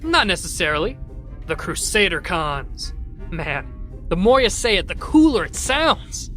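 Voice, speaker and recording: A man plays an excitable little robot who can't stop talking, rattling off ideas and jokes. His voice is bright and springs up and down all over the place, with faint music behind. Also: high-pitched, heavily acted. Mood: enthusiastic. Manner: rapid, chirpy, rambling, joking. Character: chatty excitable robot bartender, male. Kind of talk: fan audio drama acting.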